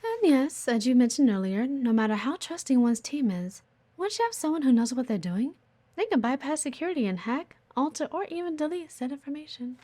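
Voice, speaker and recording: A voice actress playing a sharp, demanding female office executive in an audio roleplay, teasing and condescending, with a theatrical, flirty delivery. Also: sits high, very animated, a little fast. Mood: haughty, impassioned. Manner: teasing, haughty, theatrical, sighing. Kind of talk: intimate audio roleplay acting.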